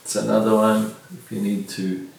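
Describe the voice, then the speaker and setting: Echoey, low-voiced man, unhurried. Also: flat delivery, creaky, a little mellow. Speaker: product reviewer. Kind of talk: casual unboxing demonstration. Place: small bare indoor room at a table. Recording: very echoey room, distant mic, faint noise.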